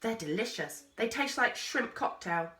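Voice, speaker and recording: A woman's voice: fairly high pitch, animated, a little slow, sharp tone, distant mic, voice pushed up. A woman voicing a brusque, wry explorer man with a commanding, matter-of-fact manner in a storybook. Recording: roomy, echoey sound.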